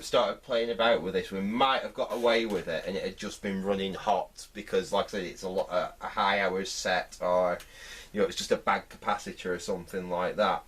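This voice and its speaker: A man giving unscripted hands-on repair commentary, thinking aloud in a halting way as he works. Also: lowish voice, husky, distant mic. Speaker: host of a vintage radio repair channel.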